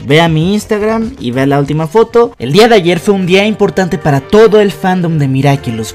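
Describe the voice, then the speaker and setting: A man's voice: on the high side, darkish tone. A young man with a Mexican accent presenting scripted fan commentary as a video host, in an emphatic, enthusiastic way. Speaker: YouTube host. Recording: voice-over with music behind.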